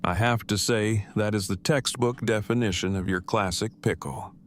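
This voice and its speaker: A man playing a verbose, formal, deadpan oddball in an audio drama. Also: on the low side, normal speed, slight background noise, lightly acted. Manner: pompous wordy formal phrasing, deadpan.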